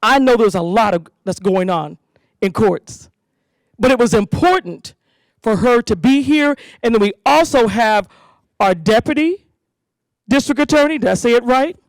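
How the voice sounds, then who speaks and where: A woman hosting a school community safety event, giving an animated speech to parents and leading call-and-response chants. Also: a little high, normal speed, no echo, rough recording.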